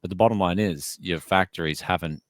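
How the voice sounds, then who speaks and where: A man in his thirties with a very low voice talks loosely over a video call from his home studio. The sound is dry and close, with no room around it. He rambles through his points and keeps calling people "man" and "dude". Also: brisk, no echo. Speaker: tech YouTuber guest. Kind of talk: casual video call chat.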